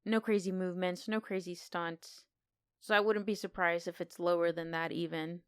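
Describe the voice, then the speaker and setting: Woman, film review chat, crisp tone, dry recording, conversational, unscripted. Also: lowish voice, fairly even intonation, moderate pace, no room sound. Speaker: podcast co-host.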